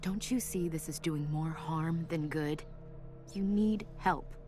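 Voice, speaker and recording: A woman's voice: deep voice, takes it slowly. An actress playing the hero's caring but firm female friend in a video game, pleading and admonishing, with music behind her. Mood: worried, chiding. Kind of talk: video game cutscene acting.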